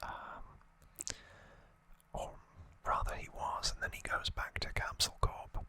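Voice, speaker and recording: An ASMR host telling a story in a breathy, unvoiced whisper, gentle, unhurried and informal.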